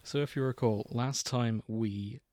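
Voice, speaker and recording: A man with a mid-range voice, talking casually on a podcast. He is wry and deadpan and often laughs at his own lines. The sound is dry and close. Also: mid-range voice, a little slow, no echo. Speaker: podcast co-host reading fan fiction. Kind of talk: casual chat and comic reading aloud.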